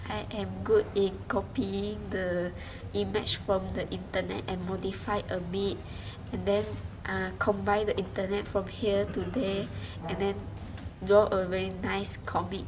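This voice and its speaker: A young Malaysian woman, a university student, giving a halting spoken reflection with many restarts and fillers. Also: medium pitch, flat delivery. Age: young adult. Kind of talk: spoken class reflection in a second language. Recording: noisy background hiss.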